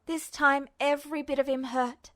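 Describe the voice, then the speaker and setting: A woman's voice: high-pitched, flat delivery, moderate pace. A woman reading fan fiction aloud as a narrator, in a dramatic storytelling style. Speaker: podfic narrator.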